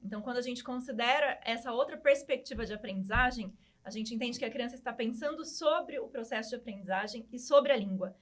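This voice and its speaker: A Brazilian woman with a somewhat high voice. She explains her teaching approach in a calm, measured and orderly way, as a podcast guest. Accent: Brazilian Portuguese. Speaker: Portuguese teacher. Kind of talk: podcast conversation.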